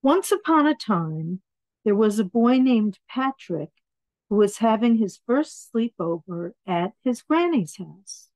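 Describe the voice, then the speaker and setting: A woman reading children's picture books aloud in an expressive way, adding asides about the pictures. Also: a little high, slow pace, no room sound, poor audio. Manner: expressive, acts out words and dialogue.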